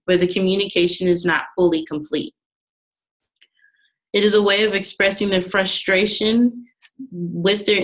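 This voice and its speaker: A young woman reads a class presentation off her slides in a fairly low voice. Her delivery stays flat and even, with the odd restart. It sounds like a student working through prepared notes. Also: rough recording.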